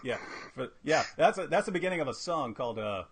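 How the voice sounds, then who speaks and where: Husky man interviewing casually. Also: medium pitch, animated, fast. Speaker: interviewer, channel host.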